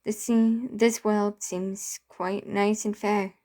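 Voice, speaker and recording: A woman voicing a quiet, even-minded young man in a story, measured and hesitant. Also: mid-range voice, close to an everyday voice. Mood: quiet, reasoning.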